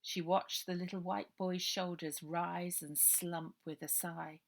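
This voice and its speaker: A woman's voice: fairly low pitch, steady tone, takes it slowly, vocal fry. A woman author narrating her own children's novel aloud, as an unedited read-through.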